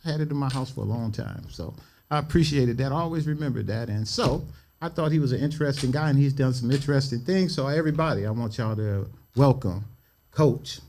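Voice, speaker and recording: A man's voice: medium pitch, darkish tone, a little hiss or hum. Laid-back man hosting. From Cleveland, Ohio. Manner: easygoing, slangy, drawn-out "man" tags. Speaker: podcast host. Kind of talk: casual interview chat. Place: studio.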